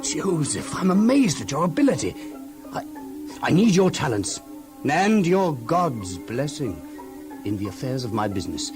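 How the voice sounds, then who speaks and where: An actor playing a wealthy, effusive master of an ancient household in a children's audio Bible drama, speaking expansively with emphatic, halting repetitions. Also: male voice, a little high, big pitch swings, over loud music. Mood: effusive, grateful.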